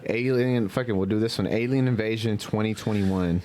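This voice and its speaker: A man's voice: on the low side, steady tone, moderate pace, a little mellow, a little hiss or hum. A young man chatting casually on a podcast with slangy, profane wording. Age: young adult. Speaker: podcast co-host. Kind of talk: casual chat. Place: small room podcast studio. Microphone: podcast microphone.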